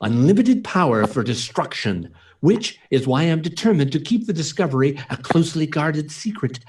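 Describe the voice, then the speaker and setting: A man's voice: a little high, sing-song, normal speed, a little mellow. A man acting an earnest, principled scientist-inventor in an old-time radio play, speaking in a formal, emphatic, theatrical way. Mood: earnest conviction.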